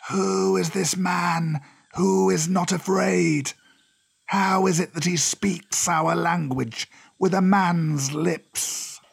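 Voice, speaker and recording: Old male cobra, storybook villain, very slow drawl, raised pitch, proud, menacing, ominous. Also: fairly even intonation, gravelly, breathy edge, full character voice. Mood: haughty, suspicious. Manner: slow, drawn-out, ominous delivery. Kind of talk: storybook character voice.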